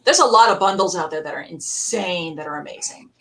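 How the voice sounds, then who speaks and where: A woman panelist talking casually and enthusiastically about audio dramas in a rambling, animated way. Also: lowish voice, a little fast, vocal fry. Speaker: podcast panelist. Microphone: distant computer mic on a virtual panel. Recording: distant microphone.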